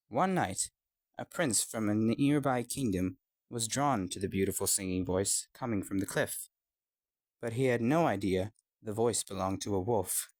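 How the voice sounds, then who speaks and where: A man reading a scripted fairy tale as a storyteller, with an expressive storybook delivery. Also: medium pitch.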